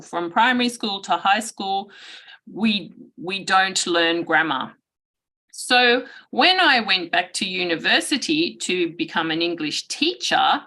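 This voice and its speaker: A woman from Australia giving an online guest lecture to students, speaking in a slow, measured way and clearing her throat often. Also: mid-range voice, sharp tone.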